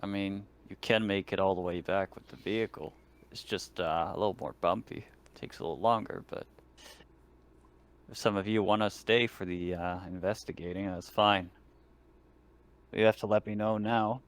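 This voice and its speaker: A man's voice: deep voice, fairly even intonation, moderate pace, smooth. A man chatting casually as a player in a tabletop role-playing game.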